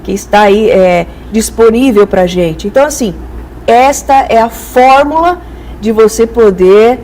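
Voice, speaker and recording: A woman's voice: a little high, unhurried, a little mellow, a little hiss or hum, poor audio. A Brazilian woman speaking as an expert guest in a conversational, didactic way, illustrating with acted-out examples. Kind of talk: conversational explanatory interview.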